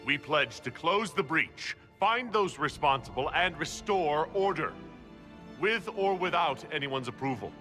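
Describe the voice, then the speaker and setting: An actor playing a defiant, weary leader of a fantasy order in a video game, with earnest, emphatic delivery. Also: male voice, a little high, animated, measured pace, sharp tone. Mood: resolute. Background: music.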